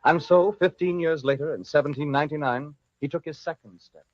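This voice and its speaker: A man's voice: a little high, a little fast, no room sound. A man giving scripted narration for an old-time radio drama. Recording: dull lo-fi old radio recording. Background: music.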